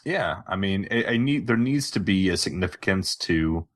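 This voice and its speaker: Deep-voiced man, casual podcast host. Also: animated, moderate pace. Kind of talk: casual gaming podcast chat.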